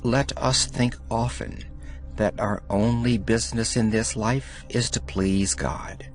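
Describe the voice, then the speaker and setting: Male audiobook narrator, very deliberate pacing, measured and solemn, faint music underneath. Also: mid-range voice, steady tone. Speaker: audiobook reader. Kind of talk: scripted reading of devotional letters.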